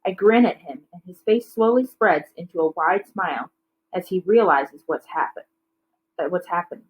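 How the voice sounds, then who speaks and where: A woman's voice: on the low side, normal speed, phone-quality sound. Woman reading a children's novel aloud. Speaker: teacher reading a book aloud.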